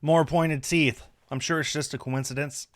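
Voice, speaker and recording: A man's voice: fairly high pitch, fairly even intonation, normal speed, slight background noise. A man reading story narration aloud from a script. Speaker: streamer reading a visual novel aloud.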